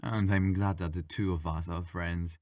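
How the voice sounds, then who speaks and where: A man playing a friendly, bored, mischievous ghost in an intimate ASMR audio roleplay, talking in a playful, wry, gently argumentative way. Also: low-pitched, little pitch movement, moderate pace, no room sound, studio quality, close to an everyday voice. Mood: friendly, hopeful.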